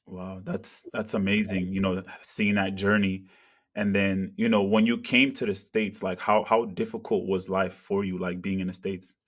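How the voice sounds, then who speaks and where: Earnest low-voiced male interviewer from Africa. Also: flat delivery, moderate pace. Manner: earnest, many "you know" and "kinda". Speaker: interviewer, channel host. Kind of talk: casual interview questions.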